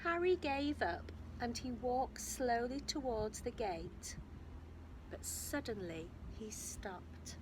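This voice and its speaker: A woman reads a children's story in a slightly high, very animated voice. She is expressive and emphatic, addressing young listeners directly. There is clear background noise on the recording. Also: slow. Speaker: infant school storyteller. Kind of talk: reading a picture book aloud to young children, with asides.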